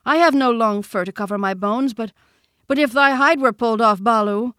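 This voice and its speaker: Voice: sits high, unhurried, a bit bright, no room sound, studio quality, voice pushed up. A man voicing a cheeky, earnest jungle boy in a storybook, indignantly explaining himself.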